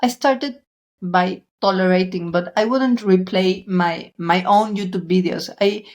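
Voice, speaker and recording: Slow-spoken woman hosting a podcast. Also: medium pitch. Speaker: podcast host. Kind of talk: interview.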